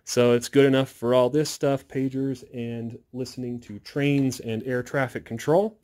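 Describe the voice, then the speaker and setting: A man's voice: medium pitch, fairly even intonation, a little slow, darkish tone. A man presenting his hobby project in a casual, hands-on tech walkthrough, explaining things informally into a handheld phone. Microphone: handheld phone behind the camera.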